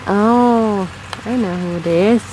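A woman's voice: medium pitch, slow. A woman, a mother, chatting casually with her child while filming outdoors on a home camcorder, exclaiming and switching between English and German. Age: adult. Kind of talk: casual family chat. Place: outdoors at a barn demolition site. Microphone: handheld home camcorder. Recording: noisy outdoor home video.

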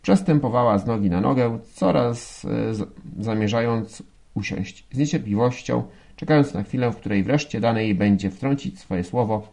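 A man reading a literary audiobook as an expressive narrator. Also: mid-range voice, normal speed, vocal fry. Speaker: audiobook reader.

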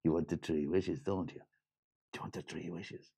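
A man's voice: steady tone, moderate pace, vocal fry, dark tone, husky, heavily acted. A man voicing a tricky, ancient male leprechaun who shrieks and wheedles. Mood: wheedling. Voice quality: shrill squealing. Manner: wheedling and bargaining.

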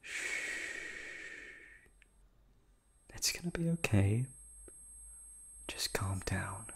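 Male actor doing a low, slow-talking yandere receptionist, silky courtesy over menace, close-mic whispers. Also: lively intonation, gravelly, fairly bright tone, slightly rough, heavily acted. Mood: whispery. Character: obsessive male yandere hotel receptionist kidnapper. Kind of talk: ASMR roleplay audio drama.

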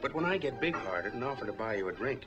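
An actor playing a grumpy, wisecracking middle-aged reporter in a 1940s film, blunt and cynical. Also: male voice, mid-range voice, lively intonation, quick pace, lightly acted. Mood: grumbling, sardonic. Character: grumpy, cynical veteran male newspaper reporter. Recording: muffled 1940s film soundtrack, music.

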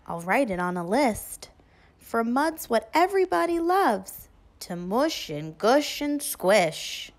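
Slow, animated woman reading a picture book. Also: a little high. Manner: deliberate, child-directed storytelling. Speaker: teacher reading aloud to children. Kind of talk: expressive picture book read-aloud.

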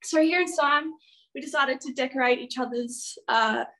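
A woman's voice: sits high, moderate pace, pushed and bright, poor audio. A young Australian woman giving a casual, chatty tour as a student guide. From Ballarat, rural Victoria, Australia. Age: young adult. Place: small dorm room and corridor. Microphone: phone at arm's length.

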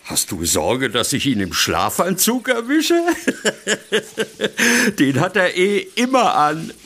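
An actor playing a cheery, relaxed middle-aged organic-farmer dad in a German audio drama. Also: male voice, fairly high pitch, slow pace, full character voice. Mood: helpful.

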